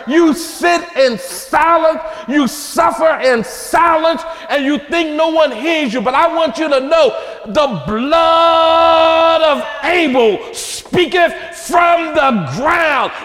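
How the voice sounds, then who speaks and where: Man, preacher, very high voice, impassioned shouting, rhythmic repetition, long hall reverb. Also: takes it slowly.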